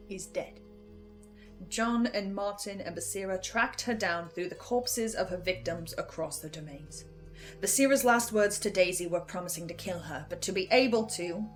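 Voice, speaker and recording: A woman hosting a scripted video essay, giving reflective commentary with dramatic pauses. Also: mid-range voice. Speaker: reviewer and host. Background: music.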